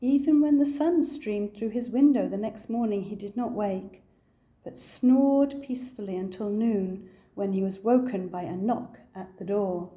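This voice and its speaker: An older woman reading a children's picture book aloud as a storyteller, with a deliberate, expressive delivery. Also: medium pitch.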